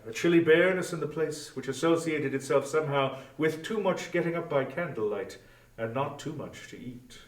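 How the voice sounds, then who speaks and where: A man reads scripted literary narration with expressive, theatrical storytelling. His pitch is a little high, and he sounds set back from the microphone in a reverberant room. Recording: echoey room, distant from microphone.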